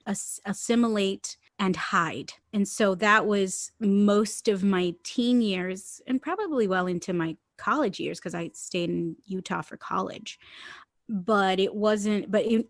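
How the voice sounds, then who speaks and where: Puerto rican woman, spanish is her first language, animated and laughy, dry close sound. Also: mid-range voice, no echo. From Puerto Rico. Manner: animated storyteller, laughs often, swears casually. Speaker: podcast guest. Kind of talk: casual conversation.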